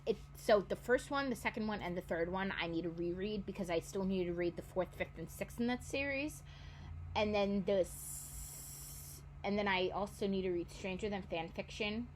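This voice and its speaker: A woman's voice: medium pitch, moderate pace, slight background noise, low-quality recording. A woman in her twenties chatting casually about books she wants to reread, rambling and often correcting herself. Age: 20s. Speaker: book vlogger. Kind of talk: casual chat.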